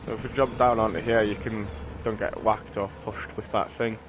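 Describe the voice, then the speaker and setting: A man's voice: lowish voice, steady tone, moderate pace, noisy background. A British man giving casual, rambling, sweary gameplay commentary. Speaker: gaming streamer.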